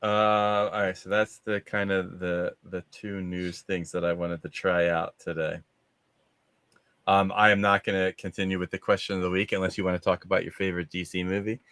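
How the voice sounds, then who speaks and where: A man's voice: low-pitched, level tone, moderate pace, fairly bright tone, clean tone, dry recording. A man hosting a movie discussion show on a video call, chatting casually. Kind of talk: casual chat.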